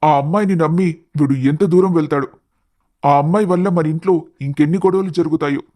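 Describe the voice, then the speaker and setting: A man voicing a worried, resigned middle-aged father in an animated family story. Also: a little high, brisk, lightly acted.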